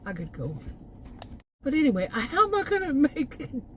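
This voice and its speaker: A woman's voice: high-pitched, huge pitch swings, brisk, a bit bright, slight background noise. An American woman vlogging in a casual, rambling rant with an exasperated manner. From Texas, United States. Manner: exasperated, chuckles between remarks. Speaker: food delivery gig worker, vlogger.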